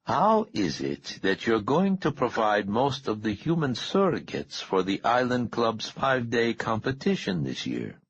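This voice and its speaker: A man voicing a calm, menacing male interrogator in an audiobook, soft-spoken, unhurried and falsely courteous. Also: mid-range voice, lo-fi sound, a little hiss or hum, pitched above the performer's own voice. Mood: calm menace. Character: calm, menacing balaclava-masked male interrogator with irritating accent. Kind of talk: audiobook character dialogue.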